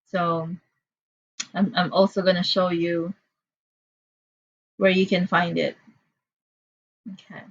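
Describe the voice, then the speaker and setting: A woman's voice: fairly low pitch, level tone, takes it slowly, pauses often, muffled recording. A woman from the Philippines with a Filipino accent, teaching an online class in a chatty, apologetic way with frequent fillers. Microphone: computer mic on a video call.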